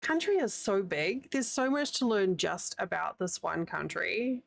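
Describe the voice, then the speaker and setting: Woman, New Zealand accent, streamer, rambling casual chat, gravelly, dry close sound. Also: medium pitch, animated, measured pace, no room sound. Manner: chatty, rambling, laughs often. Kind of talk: casual chat with live chat while crocheting. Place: home, living in the United States.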